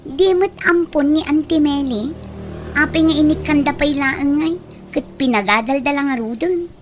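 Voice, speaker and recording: Woman doing an entitled greedy daughter, filipino accent, high voice, radio drama, slight noise behind. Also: normal speed, close to an everyday voice. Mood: prying. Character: grasping, entitled grown daughter ordering elders around. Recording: noticeable background noise.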